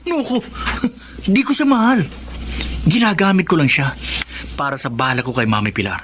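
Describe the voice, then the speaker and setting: An actor playing a greedy, manipulative young man with a Filipino accent, cajoling and quick to rage in melodramatic radio drama style. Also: fairly high pitch, noisy background, close to an everyday voice. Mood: smug. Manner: cajoling and domineering.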